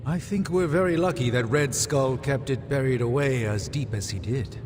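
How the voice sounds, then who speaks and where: A man playing an anxious occult researcher in a video game cutscene, speaking formally and gravely with hesitant pauses. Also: mid-range voice, background noise, lightly acted. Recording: long reverb, music underneath.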